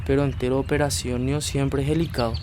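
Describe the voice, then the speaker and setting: An actor playing a reassuring rural husband in a radio drama. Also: male voice, mid-range voice, level tone, brisk, background noise, close to an everyday voice. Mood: calm. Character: caring husband Rafa, adult man.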